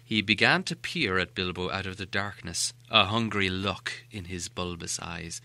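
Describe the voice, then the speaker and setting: A man narrating a storybook as an audiobook reader, with an expressive, dramatic delivery. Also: sits low, normal speed, creaky, no room sound. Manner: expressive, animated.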